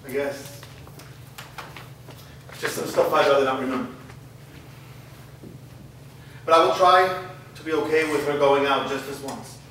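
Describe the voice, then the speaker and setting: A man's voice: medium pitch, steady tone, moderate pace, pushed and bright, lightly acted. Man as a wary strict dad, echoey room. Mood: uneasy, withholding. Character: wary, strict, reticent father figure. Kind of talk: staged screenplay reading. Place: live reading in a reverberant room. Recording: echoey noisy room, distant microphone.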